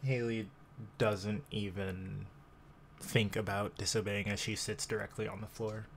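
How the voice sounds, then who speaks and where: A man narrates a tabletop roleplay at a slow pace. His voice is lowish and creaky, with a bright, forceful edge and faint noise behind it. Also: level tone. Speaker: game narrator.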